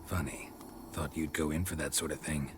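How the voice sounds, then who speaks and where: An actor playing a stoic veteran monster hunter in a video game, talking in terse, dry, sardonic lines. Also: male voice, rumbling low, fairly even intonation, normal speed, background noise. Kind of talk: video game cutscene acting. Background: game soundtrack music.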